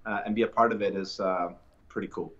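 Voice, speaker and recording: Low-voiced man, muffled lo-fi sound. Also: fast talker. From Colombia. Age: adult, a father. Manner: relaxed, reflective, many fillers and restarts. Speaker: podcast guest. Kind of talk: casual interview storytelling.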